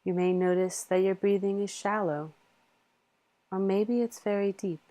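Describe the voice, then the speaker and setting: A woman leading a guided meditation in a soothing, measured manner with long pauses. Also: mid-range voice, steady tone, dark tone.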